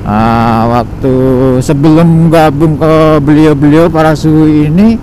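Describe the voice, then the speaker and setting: A young man with a Jakarta slang accent chatting to himself on a night motorcycle ride, rambling and relaxed with many fillers, recorded on a helmet camera. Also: on the high side, a little slow, rough recording. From Indonesia. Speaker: motovlogger. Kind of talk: casual solo ride vlog. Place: riding a motorcycle at night on city streets. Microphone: helmet-mounted action camera. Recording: road and traffic noise behind. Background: engine and traffic.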